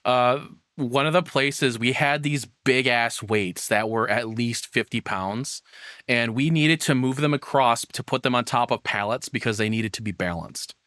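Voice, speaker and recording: Bright, forceful man, dry close sound. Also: medium pitch, measured pace, dry recording. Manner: rambling, frank, swears casually. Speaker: content creator reacting to a video. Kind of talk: casual reaction commentary.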